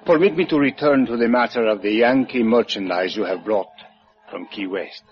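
A man's voice: mid-range voice, moderate pace, natural voice. An actor playing an anxious Cuban man in a radio drama, formal and repetitive, pleading with a Spanish accent. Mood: polite, insistent. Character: insistent, anxious Cuban man on shady business. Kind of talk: 1950s radio drama acting. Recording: dull lo-fi old radio recording.

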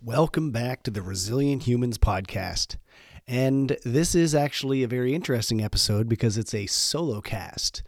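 A man with a cold hosting a solo podcast, his voice a little squeaky and stuffed up, talking in a relaxed, rambling way with many fillers. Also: normal speed. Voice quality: slightly squeaky voice. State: congested from a cold. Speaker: podcast host.

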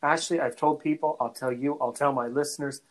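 A man from North America hosting a podcast interview, speaking in a halting way and often repeating words before finishing a thought. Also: fairly high pitch, steady tone, poor audio. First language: English; French is his second language. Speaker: podcast host. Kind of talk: conversational interview.

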